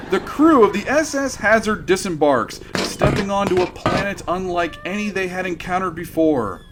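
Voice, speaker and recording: A man narrates a sci-fi radio comedy in a dry, wry voice. He sits a little higher in pitch than most men. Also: a bit bright, slight background noise.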